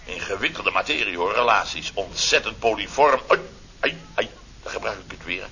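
A self-important, rambling boss in a vintage radio comedy serial. His voice is very bright and forceful, and he stammers and repeats himself through wordy phrases. The old recording is dull, with faint noise. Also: fairly low pitch, lively intonation, normal speed. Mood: flustered. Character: flustered, rambling, self-important male boss of a building firm.

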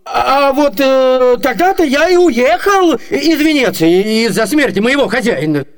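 A man's voice: very high-pitched, animated, unhurried, full character voice. An actor playing a crafty, cheeky male servant who bumbles through lies with stammers and asides, in radio theatre comedy. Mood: sly, evasive.

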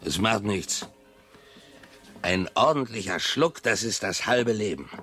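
An actor playing a drunken, domineering colonial officer, a bullying and volatile husband in a German radio play. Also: male voice, fairly low pitch, expressive, slow, pushed and bright, faint music behind, exaggerated voice.

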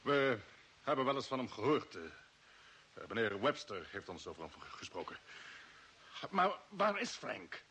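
Forceful, husky space commander. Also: male voice, mid-range voice, big pitch swings, unhurried, pauses often, exaggerated voice. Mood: tense, questioning. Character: indignant, forthright male space commander. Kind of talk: older Dutch radio play acting.

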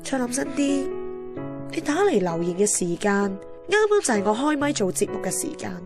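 A woman's voice: on the high side, very animated, moderate pace. A woman with a Hong Kong Cantonese accent playing a wistful, candid young heroine who narrates her romance in a radio drama. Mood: hurt, indignant. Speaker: narrator and lead character. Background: music.

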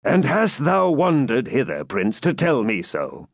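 A man voicing a stern, proud old Saxon lord and father in a forceful, declamatory storybook manner with archaic speech. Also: medium pitch, wildly swooping pitch, a little slow, gravelly, no room sound, studio quality, full character voice. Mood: bitter.

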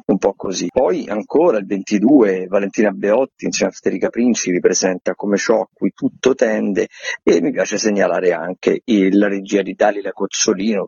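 A man runs through theatre listings as a radio critic, casually and with some hesitation. His voice is fairly low and very raspy, in a dry, slightly muffled recording. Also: a little mellow, dry recording. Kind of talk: semi-scripted listings rundown on radio.

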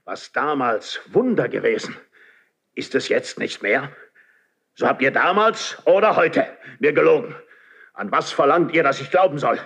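A slow, imperious, suspicious king. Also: a little high, animated, gravelly, a bit bright, breathy edge, heavily acted. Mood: wrathful, scornful. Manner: declamatory, commanding. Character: proud, suspicious, imperious king, lonely and wrathful. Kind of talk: 1970s German radio play, classical verse acting.

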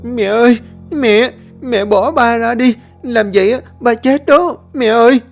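A small boy sobs and begs for help in a high, loud, strained voice, as a male narrator acts him out. Faint music sits under the storytelling. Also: unhurried. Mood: crying, pleading. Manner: wailing, pleading. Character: small boy crying for his grandfather.

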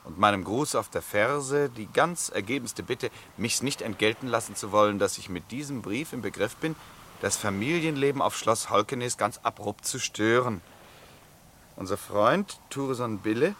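An actor playing a good-humoured middle-aged count and husband in a literary radio drama, with urbane, formal period diction and wry amusement. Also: male voice, fairly low pitch, moderate pace, a bit bright, a little hiss or hum, close to an everyday voice. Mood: amused.

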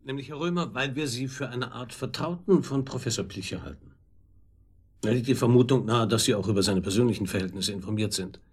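A man's voice: mid-range voice, a little fast, husky, lightly acted. A man acting in a German radio drama as a senior tax investigator, dry and ironic, questioning in a wry, needling way. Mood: dry, probing.

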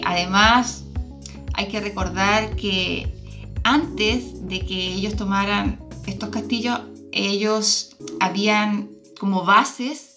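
A woman with a Chilean accent speaks over prominent music. She sits at an ordinary female pitch and hosts in an informal, talkative podcast style, often turning to questions. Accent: Chilean Spanish. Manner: chatty, informal, prompts her guest with questions. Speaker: podcast host. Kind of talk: casual chat, travel podcast.

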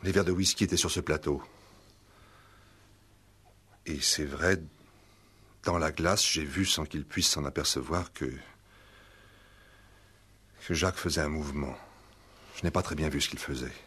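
Nervous, husky, deep-voiced suspect. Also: male voice, a bit bright, heavily acted. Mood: halting, confessing. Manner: hesitant, halting, repeats words. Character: nervous, evasive professional man under suspicion. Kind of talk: 1960s French radio play acting.

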